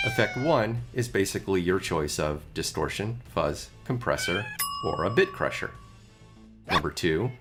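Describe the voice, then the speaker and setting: A middle-aged man talking casually about his music gear, chatty and a bit rambling. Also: deep voice.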